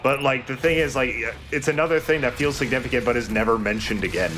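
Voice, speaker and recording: A man's voice: mid-range voice, fairly even intonation, fast, background noise. A man giving casual, explanatory game commentary as a let's play host. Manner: explanatory, conversational. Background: game music.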